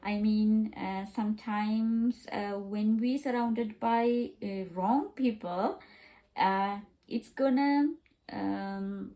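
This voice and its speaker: A woman learning English as a second language, talking casually in a vlog, pausing with many fillers and repeating herself. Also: mid-range voice, warm tone, poor audio. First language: not English.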